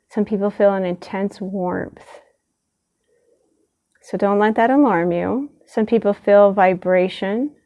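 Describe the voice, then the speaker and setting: A woman leading a gentle guided meditation in a soothing, unhurried way, leaving long pauses between short phrases. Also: mid-range voice.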